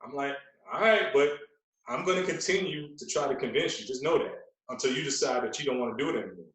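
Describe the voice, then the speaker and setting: A man's voice: mid-range voice, lively intonation, quick pace, a bit bright, distant mic. A man talking casually as a podcast guest and fitness trainer, explaining things emphatically and repeating phrases to drive them home. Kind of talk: casual conversational interview talk. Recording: very echoey, roomy sound.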